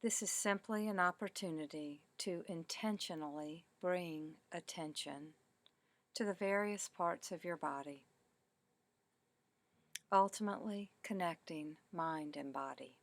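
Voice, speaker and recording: Slow, low-pitched woman guiding meditation. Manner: calm, deliberate, measured phrasing with pauses. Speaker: meditation teacher. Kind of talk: guided meditation, body scan narration.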